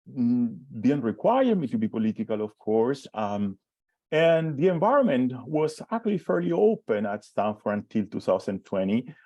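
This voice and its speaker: A man's voice: medium pitch, dark tone, no room sound. A man in his forties from Chile with a Chilean Spanish accent, giving a conference talk as a professor, hesitant with many restarts and fillers. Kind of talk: conference presentation.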